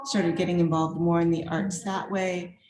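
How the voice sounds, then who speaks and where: A woman's voice: on the low side, flat delivery, normal speed, crowd noise. Chatty upbeat woman in an echoey room. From former Czechoslovakia. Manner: chatty and upbeat, laughs often. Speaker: guest artist and art professor. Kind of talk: casual self-introduction at a virtual reception.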